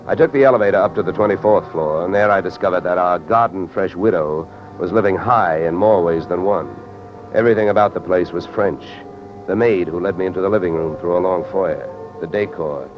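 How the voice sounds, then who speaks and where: A man's voice: low voice, fairly quick, natural voice. An American man playing a wry, sardonic hard-boiled insurance investigator in old-time radio detective style. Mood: wry narration. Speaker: lead actor and narrator. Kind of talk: 1940s-50s radio detective acting. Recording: dull old radio recording with music.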